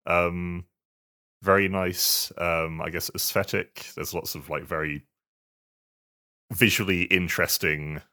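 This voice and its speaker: Man, very deep pitch, bright crisp-edged tone, hesitant phrasing, casual gaming podcast co-host. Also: fairly even intonation. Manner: hesitant, halting delivery with repeated words. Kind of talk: casual video game podcast chat.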